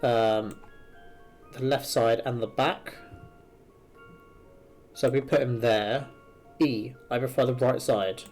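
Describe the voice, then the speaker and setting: A man is streaming a puzzle game with its music playing behind him. He reads the clues aloud and reasons through them step by step. His voice sits at a middling pitch as he gives his running commentary. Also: steady tone, slow pace, long pauses, a little mellow. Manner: thinks aloud while working through puzzles. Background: video game music.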